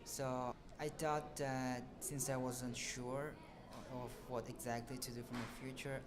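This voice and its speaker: A young man in his twenties from Brazil, a student answering interview questions in a relaxed, hesitant way, often pausing to search for words. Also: mid-range voice, music in the background. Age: 20s. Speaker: student guest.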